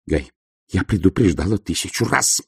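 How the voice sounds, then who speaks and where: A man voicing an imperious, scornful aristocratic old baroness who scolds in a haughty, sharp manner. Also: mid-range voice, wildly swooping pitch, normal speed, creaky, husky, no room sound, studio quality, pitched above the performer's own voice, exaggerated voice. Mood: scolding. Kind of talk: audiobook character voice.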